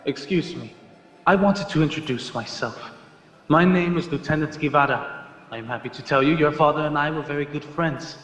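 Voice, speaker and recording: A man's voice: a little high, moderate pace, a bit bright, natural voice. Man playing a formal lieutenant in echoey hall. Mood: respectful. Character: formal, sincere army lieutenant, respectful. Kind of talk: radio drama acting.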